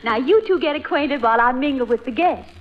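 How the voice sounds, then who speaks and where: A very high-pitched young Southern belle, gushing and cooing over her groom. Her accent is American Southern and her style is 1940s radio comedy. The old recording is dull. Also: animated, fairly quick. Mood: eager, cooing. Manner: syrupy, cooing, oblivious.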